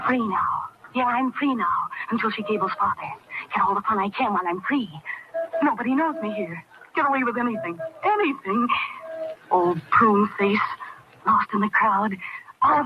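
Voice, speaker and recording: A woman's voice: a little high, close to an everyday voice. An actress playing a spoiled, rebellious young rich girl in old radio drama, with slangy, insolent, petulant delivery. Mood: sullen, scheming. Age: young adult. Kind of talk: 1940s radio acting. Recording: muffled, noisy old-time radio recording.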